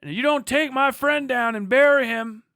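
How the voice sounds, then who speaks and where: Man acting a loud, menacing Western outlaw. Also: very high-pitched, moderate pace, clean tone, dry recording, clean studio sound, pitched above the performer's own voice. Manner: threatening, commanding. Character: defiant aging Western outlaw with a shotgun, menacing.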